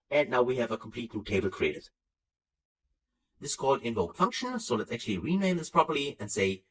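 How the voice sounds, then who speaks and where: A man whose first language is German walks through a software tutorial step by step, stopping now and then to correct himself. His pitch rises and falls a lot as he explains. The voice sounds set back from the microphone. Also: recorded from a distance, low-quality recording. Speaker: software instructor. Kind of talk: screen-recorded software tutorial.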